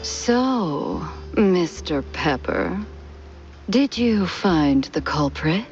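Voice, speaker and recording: A sly noir femme fatale, drawing out her words extremely slowly. Her lowish voice swoops theatrically with coy, teasing drama. It is a cartoon game performance with a slightly muffled sound. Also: animated, a little mellow, soft background music. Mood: coy, feigned surprise. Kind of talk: 1930s film noir game acting.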